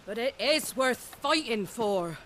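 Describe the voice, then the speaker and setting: An actress playing a fierce, earnest young woman rallying villagers with deliberate, impassioned delivery. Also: fairly high pitch, expressive, slight background noise. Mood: impassioned, urging. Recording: loud music under the voice.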